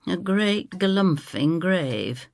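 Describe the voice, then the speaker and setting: A woman's voice: fairly low pitch, big pitch swings, slow, a little mellow, no echo. An older woman narrating a scripted story in the first person, with dramatic delivery.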